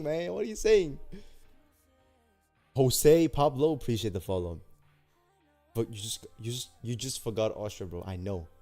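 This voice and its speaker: A man's voice: lowish voice, warm tone. A young man from Denmark, livestreaming as he demos an app builder, chatting with his viewers in slangy, hyped, repetitive talk. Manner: hyped, slangy, talks to chat, repeats himself. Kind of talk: casual livestream chat with viewers.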